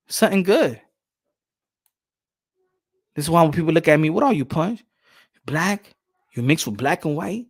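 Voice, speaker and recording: Excitable boxing channel host, higher voice. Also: male voice, breathy edge. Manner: animated, repeats phrases, reads chat aloud. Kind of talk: casual livestream chat.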